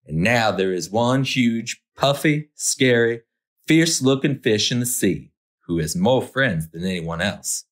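A man's voice: mid-range voice, animated, measured pace. A man narrating a children's picture book as a storytime host, expressively, drawing out key words for effect.

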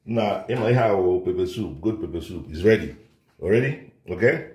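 Chatty man cooking, low voice. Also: animated, moderate pace, a little mellow. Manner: chatty and boastful, repeats words. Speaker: home cook showing his dishes. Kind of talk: casual cooking video. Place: home kitchen indoors. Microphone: phone camera held by another person. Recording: roomy kitchen, mic a bit far.

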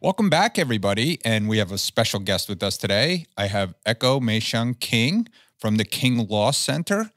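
A man's voice: lowish voice, big pitch swings, normal speed, fairly bright tone, dry recording, clean studio sound, soft background music. A man hosting a business interview podcast, asking friendly, rambling questions. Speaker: podcast host.

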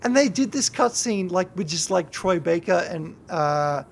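A drunk young man rambling and swearing through a gameplay livestream, yelling at the game now and then. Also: sits high, animated, unhurried, vocal fry. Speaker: YouTuber and streamer.

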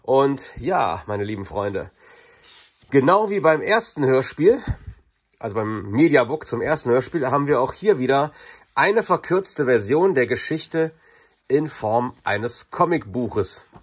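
Casting: male reviewer, forties, a little husky, informal and enthusiastic fan chatter. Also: mid-range voice, sing-song, unhurried. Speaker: video reviewer. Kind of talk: casual unboxing review to camera.

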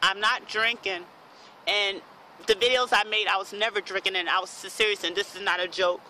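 A man of about thirty recording an earnest, halting, repetitive plea as an amateur vlog outdoors on a phone. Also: high voice, steady tone, sharp tone, rough recording. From United States. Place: outdoors in a park. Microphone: phone at arm's length. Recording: outdoor phone video, faint background noise.

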